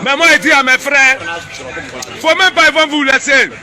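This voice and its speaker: A man's voice: very high voice, fairly even intonation, moderate pace, pushed and bright, a faint crowd. A man with an Ivorian accent speaking colloquially and insistently, in an agitated, confrontational style straight to the listener. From Ivory Coast. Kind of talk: agitated informal street talk to camera.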